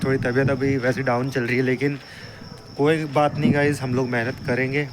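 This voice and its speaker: A young man with a hoarse voice and a blocked nose, giving an upbeat pep talk to viewers in a casual fitness vlog. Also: medium pitch, level tone, brisk. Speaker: fitness vlogger. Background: street traffic and wind.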